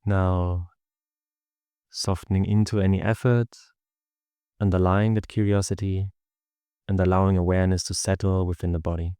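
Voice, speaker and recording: A man leading a guided meditation as a teacher, speaking in a calm, measured, instructional way with pauses. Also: sits low, clear, resonant tone, no echo, studio quality.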